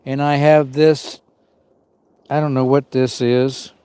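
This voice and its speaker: A man's voice: mid-range voice, little pitch movement, slow, warm tone, clear, resonant tone, no echo. A man hosting a camping livestream outdoors in the cold woods, sniffling as he chats with viewers and greets them by name. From Arizona. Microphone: small mic worn on him.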